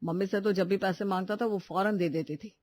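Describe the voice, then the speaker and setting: A man's voice: high-pitched, fairly even intonation, a little fast, darkish tone. A man playing a grumbling adult son and husband in a household story, with a complaining manner.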